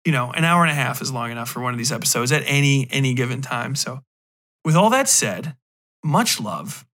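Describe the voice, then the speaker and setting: A man's voice: medium pitch, sing-song, brisk, fairly bright tone. A man hosting a podcast, giving a casual, unscripted review in a rambling, emphatic way. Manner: rambling, emphatic, profane slang. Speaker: podcast host.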